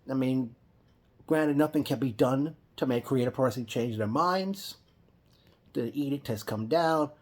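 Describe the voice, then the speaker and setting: A man talks through his reaction at a middling pitch, in an unscripted vlog style. He often stops to correct and restart his sentences. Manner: rambling, with frequent restarts and self-corrections. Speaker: wrestling fan vlogger. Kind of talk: casual spoken reaction vlog.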